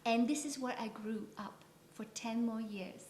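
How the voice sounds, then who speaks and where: A woman's voice: on the high side, darkish tone. Woman's voice in an echoey room. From Vietnam. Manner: measured, storytelling. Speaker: guest. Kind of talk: interview conversation. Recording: echoey room, faint ambience.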